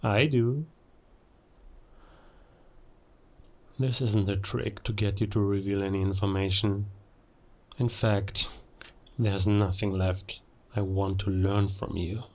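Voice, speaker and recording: A man playing a cold, murderous werewolf spy in an audio roleplay, speaking deliberately with long pauses and quiet menace. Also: lowish voice, steady tone. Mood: calm, probing. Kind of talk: cinematic audio roleplay monologue.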